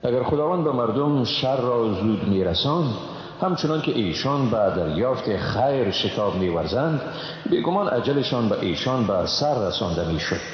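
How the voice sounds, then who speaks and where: A man's voice: medium pitch, sing-song. Afghan Dari man reading scripture in heavy reverb. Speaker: translation reader. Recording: very echoey, reverberant, muffled, faint noise.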